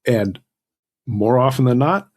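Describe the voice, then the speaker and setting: A man, a guest author, giving reflective, halting advice in a conversational interview. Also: medium pitch, animated, a little mellow. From Southern California, United States.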